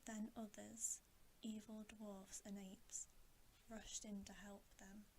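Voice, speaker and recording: Woman, hushed ASMR whisper, very slow pace, even pitch, bedtime storybook reading, faint background noise. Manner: hushed, mostly whispering, gentle and deliberate. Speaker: ASMR reader.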